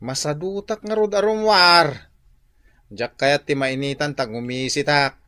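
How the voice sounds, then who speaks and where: A man's voice: fairly high pitch, unhurried, pushed and bright, clean tone, no room sound, studio quality. A man playing a lazy, whiny husband who makes hesitant excuses in a comedy radio drama. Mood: sluggish, reluctant.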